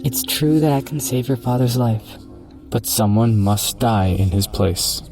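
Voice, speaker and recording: A man playing a sly, polite monster who offers deadly bargains in a coaxing, smooth-talking horror audio drama delivery. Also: mid-range voice, measured pace, a little mellow, natural voice. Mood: calmly menacing. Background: music.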